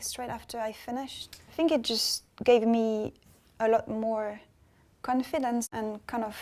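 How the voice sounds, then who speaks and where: Higher-voiced woman painter, reflective. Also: darkish tone. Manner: reflective, many I thinks and restarts. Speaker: painting student. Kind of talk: documentary interview.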